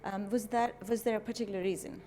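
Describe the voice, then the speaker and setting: A woman's voice: medium pitch, fairly even intonation, fast talker, dark tone, slight background noise. A woman hosting a TV interview, enthusiastic and asking questions with some "uh" and "um" fillers. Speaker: TV show host. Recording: reverberant hall with faint ambience.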